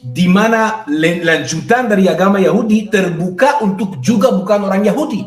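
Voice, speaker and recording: Man, hesitant and agreeable, lots of fillers, slightly high voice, roomy echo. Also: normal speed, soft background music. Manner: hesitant, many fillers, agreeable, mixes in English. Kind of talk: conversational religious discussion. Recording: echoey room.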